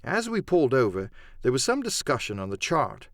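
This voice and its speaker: Male audiobook narrator for adventure fiction: dramatic, very expressive intonation, mid pitch, dry recording. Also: fairly quick, no echo.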